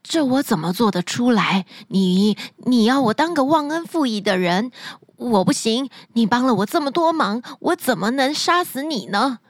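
A woman voicing a gentle, kind-hearted young prince in a bedtime story, speaking earnestly. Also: fairly high pitch, animated, normal speed, no room sound, clean studio sound. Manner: earnest, pleading.

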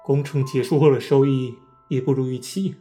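A man's voice: mid-range voice, fairly even intonation, normal speed, dark tone, lightly acted. A man playing an ambitious, desperate businessman making a confession in a radio drama. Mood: solemn confession. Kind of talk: radio drama monologue and acting. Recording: music under the voice. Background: music.

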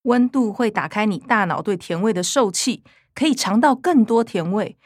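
A woman's voice: mid-range voice, expressive, normal speed, no echo, studio quality, natural voice. A woman playing an eager, earnest young granddaughter with a Taiwanese accent in a radio drama. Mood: excited, precise.

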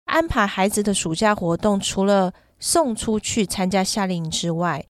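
A woman's voice: medium pitch, moderate pace, no room sound, clean studio sound. A middle-aged woman in casual podcast conversation, explaining things at length with examples. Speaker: podcast guest and parent who runs children's summer camps.

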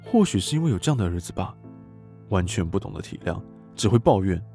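A young male tutor who secretly watches his neighbors in an audio drama. He narrates in a brooding, judging tone with lively pitch. Soft music plays under him. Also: fast talker. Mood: reflective. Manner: introspective narration, judgmental. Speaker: narrator of observation diary. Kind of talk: radio drama acting.

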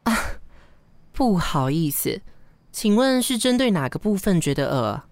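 An actress playing a breezy, blunt secretary with a playful, matter-of-fact manner in a radio drama. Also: female voice, fairly low pitch, very animated, unhurried, darkish tone, no echo. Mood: teasing, unfazed. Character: breezy, blunt female secretary with teasing frankness.

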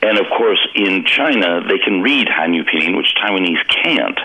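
Very deep-voiced man on a phone line. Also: lively intonation, moderate pace, pushed and bright. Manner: thinking aloud, with restarts. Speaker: guest commentator on the phone. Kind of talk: political commentary in conversation. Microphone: telephone.

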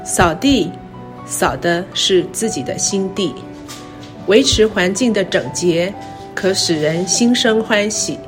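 A middle-aged woman with a Taiwanese Mandarin accent gives a scripted, reflective talk in measured, careful phrases. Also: medium pitch, animated. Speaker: university president. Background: background music.

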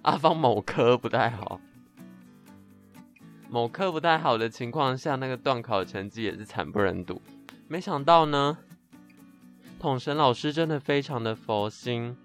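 A young man of high-school age with a Taiwanese Mandarin accent, chatting and joking as a podcast co-host, repeating words for emphasis. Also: animated, a little slow, long pauses, a bit bright, faint music behind. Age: teens to young adult, high school senior. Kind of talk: casual chat and storytelling.

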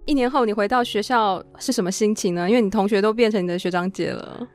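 A woman's voice: medium pitch, fast, faint music behind. A woman hosting a casual interview, attentive and responding with listening murmurs. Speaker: podcast host, interviewer.

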